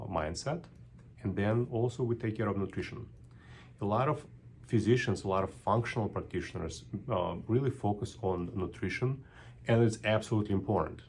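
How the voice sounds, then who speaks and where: A man's voice: low voice, a little mellow, slight background noise. A middle-aged man with a Russian accent, a neurologist talking to camera in a thoughtful, unscripted way with frequent ums and restarts.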